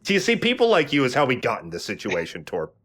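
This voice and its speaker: A man's voice: medium pitch, huge pitch swings, fast, a bit bright. A man hosting a let's play, giving casual, joking and sweary commentary while he plays a video game. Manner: joking, profane. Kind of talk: casual gaming commentary with banter.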